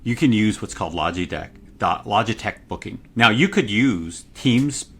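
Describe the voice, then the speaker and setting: A man, a product engineer, explaining products in a conversational interview, excitable and loud when he gets going, with many restarts. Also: on the low side, normal speed, husky. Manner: frequent fillers and restarts.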